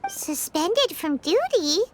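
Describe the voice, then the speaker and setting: A tiny fairy girl from a video game, extremely high and squeaky. She chirps with curiosity and swings around excitedly in pitch, with clear noise and clicking behind her. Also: takes it slowly, full character voice. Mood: surprised, curious. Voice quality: squeaky, childlike. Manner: refers to self in third person, exclamatory. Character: Paimon, small childlike fairy companion girl, chirpy and curious.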